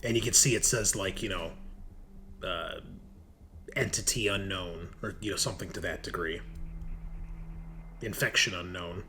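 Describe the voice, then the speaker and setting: A man's voice: sits low, fairly quick, pushed and bright. Male game master over noisy background. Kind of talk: improvised tabletop game narration.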